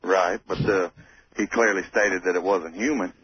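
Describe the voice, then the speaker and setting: A man calling in to a radio show, drawling and skeptical, speaking haltingly. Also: low voice, fairly even intonation, husky. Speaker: caller. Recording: phone-in call on dull radio.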